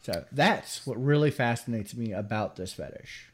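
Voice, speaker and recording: A man's voice: mid-range voice, lively intonation, moderate pace. A man with a Southern US accent, co-hosting a podcast in casual chat and often laughing as he talks. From American South. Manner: joking, laughs while talking. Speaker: podcast co-host.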